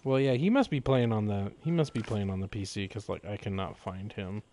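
A man's voice: fairly low pitch, brisk, dark tone. A man doing casual live gameplay commentary, exclaiming, swearing and muttering jokey remarks to himself. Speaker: video game live streamer.

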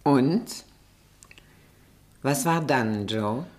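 An actress playing a frank, worldly confidante in a radio play, giving stern advice in a direct, firm way. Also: female voice, rumbling low, lively intonation, very slow, a little mellow, exaggerated voice. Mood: probing, knowing.

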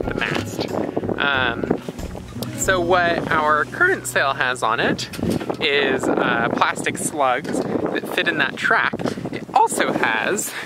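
A woman in her thirties talking casually to a handheld camera on a sailboat, explaining things with plenty of fillers. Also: extremely low pitch. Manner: relaxed, explanatory, with many fillers. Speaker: sailing vlogger. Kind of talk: casual vlog narration to camera. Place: outdoors on a sailboat on open water. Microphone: handheld camera at arm's length. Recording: loud wind noise on the microphone. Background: wind and water.

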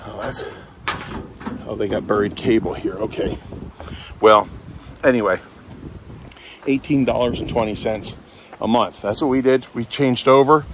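Hoarse man chatting on a walk-through. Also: medium pitch, measured pace, pauses often. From Kentucky. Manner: chatty and folksy, trailing off with fillers. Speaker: real estate agent giving a property tour. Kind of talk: casual walk-through chat. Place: outdoors on a rural property. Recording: outdoor noise with a passing vehicle.

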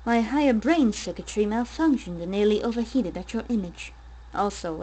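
A woman's voice: medium pitch, a little mellow, lightly acted, poor audio. A woman voicing a proud, precise computer in a stiff, formal, clinical manner. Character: precise, emotionless computer puzzled by new feelings.

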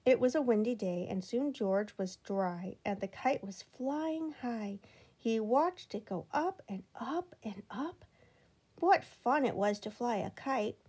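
A woman reads a children's picture book aloud in a slightly high, soft and breathy voice. She moves slowly and expressively through the story and sometimes drops to a hushed whisper. It sounds like a relaxed storytime at home, recorded on a phone. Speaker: storybook reader. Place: home, indoors.

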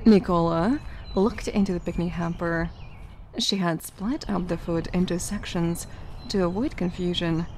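A woman's voice: on the low side, steady tone, measured pace, a little mellow, slight background noise. A woman giving scripted third-person narration for an audio drama.

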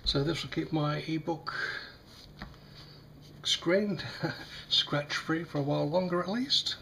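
A man with a slightly croaky voice, demonstrating a device in a casual, hesitant way with many fillers. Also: a little high, bright, forceful tone, a little hiss or hum, rough recording. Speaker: tech unboxing vlogger. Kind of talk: casual product demo. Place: small indoor room at a table.

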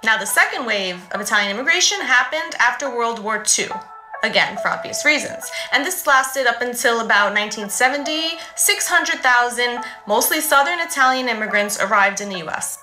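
Bright-voiced woman vlogging in an echoey room. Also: fairly high pitch, normal speed, faint music behind. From New York City, USA. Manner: animated, gestures a lot, conversational asides. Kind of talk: casual explainer to camera. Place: room at home, indoors.

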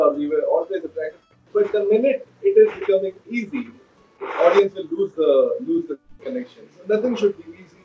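A Hindi-speaking man in his thirties chats rapidly in an interview, tumbling through restarts. His voice is a little high and sits back from the laptop mic. The call audio is dull, with a faint hiss under it. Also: far from the mic. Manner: rapid, restarts often, mixes English and Hindi. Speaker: actor guest. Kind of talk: casual interview over video call. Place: indoor room by a wall. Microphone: laptop or phone camera on a video call.

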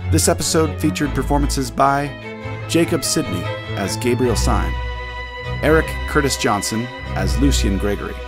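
A man reading scripted end credits as an announcer. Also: medium pitch. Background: violin music.

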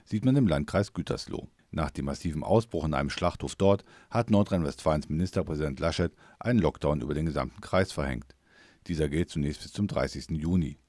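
A man's voice: a bass voice, darkish tone, no echo. A man reading a scripted news voice-over as a reporter.

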